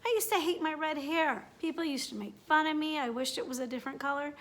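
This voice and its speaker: A woman's voice: high-pitched, fast talker, fairly bright tone. A woman hosting a children's read-aloud and chatty demonstration, speaking directly to young listeners and asking them questions. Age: adult.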